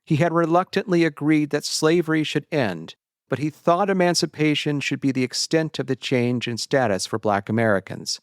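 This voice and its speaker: A man narrating a scripted historical essay in a measured, deliberate way, as a historian would. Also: mid-range voice, no echo, clean studio sound.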